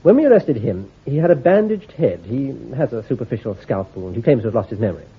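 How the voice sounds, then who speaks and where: A clipped, commanding army officer. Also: male voice, medium pitch, sing-song, quick pace, breathy edge. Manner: clipped, authoritative, gives careful orders. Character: brisk, shrewd male army intelligence commander. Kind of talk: radio drama acting. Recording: tinny, phone-like old recording.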